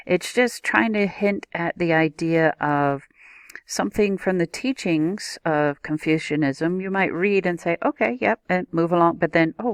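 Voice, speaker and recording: Low-voiced woman giving a video lesson. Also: no echo, clean studio sound. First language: not a native Japanese speaker. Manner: explanatory, methodical, addresses viewer directly. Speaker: teacher. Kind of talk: recorded video lecture.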